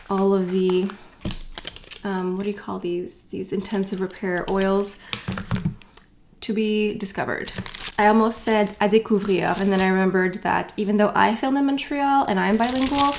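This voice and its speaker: A chatty woman talks her way through a beauty haul in a relaxed, rambling way. Her voice sits at an ordinary middle pitch, and faint noise hums under the recording. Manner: chatty, rambling, with many asides and fillers. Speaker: beauty vlogger. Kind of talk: casual product unboxing chat.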